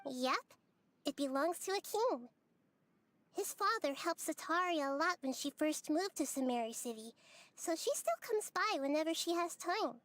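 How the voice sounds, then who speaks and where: A tiny, helpful game guide, extremely high in pitch. She is chatty and earnest, explaining things like a little companion character. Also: animated, moderate pace, a bit bright, dry recording. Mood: earnest, informative. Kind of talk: video game character acting.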